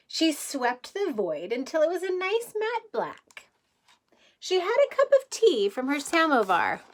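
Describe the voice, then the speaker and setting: A woman teacher reading a picture book aloud to young children in a playful way, with chatty asides and questions. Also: high voice.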